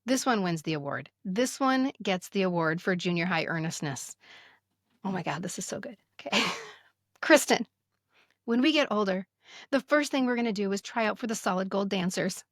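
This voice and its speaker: A middle-aged American woman co-hosting a podcast, chatting casually and reading aloud with expressive emphasis. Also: mid-range voice, normal speed, dry recording, clean studio sound. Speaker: podcast co-host. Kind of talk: casual chat with animated reading aloud of old notes.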